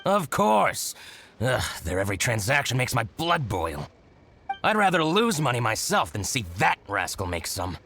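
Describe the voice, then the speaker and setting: An actor playing a scheming, spiteful shopkeeper in a video game, with exaggerated, exclamatory, gloating delivery. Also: male voice, mid-range voice, wildly swooping pitch, moderate pace, vocal fry, bright, forceful tone, slight background noise, heavily acted. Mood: indignant. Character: scheming, theatrical male shopkeeper, gloating and spiteful toward rival.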